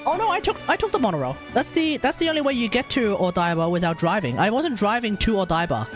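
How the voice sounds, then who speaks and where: Animated, rambling guy streaming. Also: high-pitched, quick pace, music in the background. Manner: animated, rambling, exclamatory, self-correcting. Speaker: live streamer. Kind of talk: casual livestream chat while gaming.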